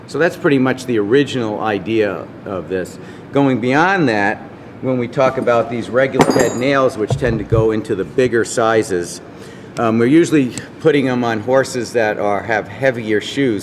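Low-voiced man explaining, reverberant hall. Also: noisy background. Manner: explains with many fillers and restarts while handling shoes and nails. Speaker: farrier clinician. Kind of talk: informal product explanation. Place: convention booth with curtains, indoors. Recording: reverberant hall with background ambience.

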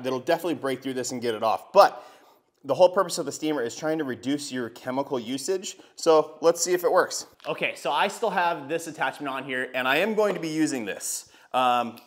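A man in his thirties casually talking viewers through a product test, chatty, with frequent fillers, on a lapel mic. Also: medium pitch. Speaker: car detailing channel host. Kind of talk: casual product review vlog. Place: garage workshop, outdoors by a van, and a home hallway.

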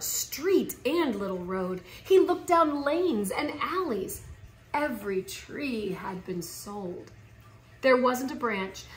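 A woman in her thirties reading a children's picture book aloud in a theatrical, expressive way with drawn-out emphasis. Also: fairly high pitch. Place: living room at home, indoors. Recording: roomy home recording.

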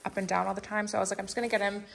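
A woman's voice: mid-range voice, little pitch movement, very fast, vocal fry, a little hiss or hum. A young woman in her twenties chatting casually to her viewers at home, upbeat and filler-heavy, filmed on a phone in her kitchen. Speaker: vlogger. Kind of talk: casual vlog chat.

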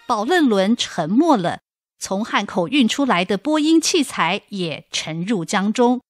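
A woman's voice: mid-range voice, big pitch swings, unhurried, soft background music. A woman narrator reading scripted narration for a radio drama.

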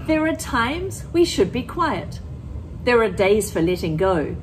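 A middle-aged woman speaks as a primary school teacher, with a highish voice that rises and falls in very lively fashion. She explains simply and instructively, as if to young children, and reads storybook style. Some background noise sits behind her. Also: measured pace. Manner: instructive, expressive, plain-worded explaining. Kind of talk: teaching and reading a picture book aloud to children.

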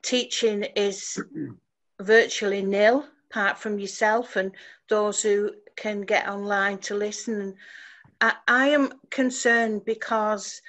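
Older lady on a video call, talks slow, lots of ums. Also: mid-range voice, fairly even intonation, a bit bright. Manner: slow, hesitant. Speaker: caller. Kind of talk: casual chat.

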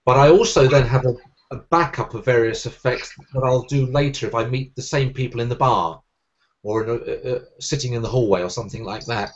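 A man in his forties with a Southern English Sussex accent, a magician speaking with crisp diction and quick energy in a casual video-call interview. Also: medium pitch, lo-fi sound. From England. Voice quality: clear diction. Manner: fast, energetic talker, gestures while speaking. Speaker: magician guest. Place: room at home, indoors. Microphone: webcam on a video call.

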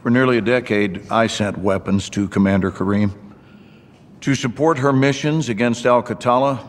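An actor playing a gruff, commanding American army general in a military video game. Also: male voice, mid-range voice, normal speed, lightly acted. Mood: formal, measured. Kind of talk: cinematic military game dialogue. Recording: long hall reverb, loud music behind.

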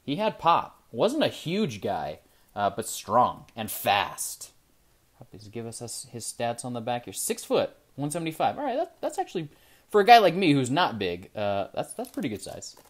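A man hosting a casual card-opening video at his desk, chatting excitedly, then trailing off quietly as he looks over each card. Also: medium pitch, moderate pace. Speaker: trading card channel host. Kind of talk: casual pack-opening commentary. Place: indoor desk with card display. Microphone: camera over the desk, hands only in frame.

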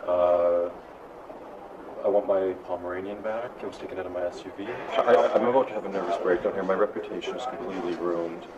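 A man's voice: low-pitched, fairly even intonation, fairly quick, highs cut off, very noisy. A young man answering interview questions in a self-assured, vain way, leaning on fillers like basically. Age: young adult. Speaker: interviewee, model.

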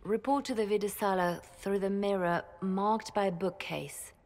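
An actress voicing a poised, calm woman spymaster and former bard in a video game, speaking in a measured, softly formal way. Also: mid-range voice, soft background music, close to an everyday voice.

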